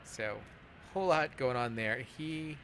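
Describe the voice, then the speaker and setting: A man hosts a casual let's play in a mid-pitched voice. He explains in a halting way, often doubling back on his words. Clear noise and game ambience sit behind him. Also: a bit bright. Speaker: let's play podcast host.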